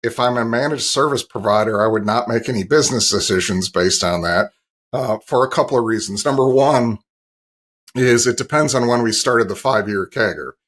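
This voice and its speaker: A man's voice: mid-range voice, moderate pace, a bit bright, slightly rough. A man in his fifties giving explanatory answers as an interview guest, talking over a video call from a home office. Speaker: interview guest, industry analyst. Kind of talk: explanatory business interview talk.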